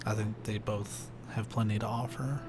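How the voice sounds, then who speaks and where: A young man with a soft, soothing voice, chatting casually and rambling while he plays a video game on stream. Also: fairly low pitch, normal speed, loud music behind the voice. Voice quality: soft, soothing, sleepy-sounding voice. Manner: rambling, laid-back, many "like"s and "uh"s. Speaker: let's play streamer. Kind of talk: casual commentary while gaming. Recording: steady background noise hiss.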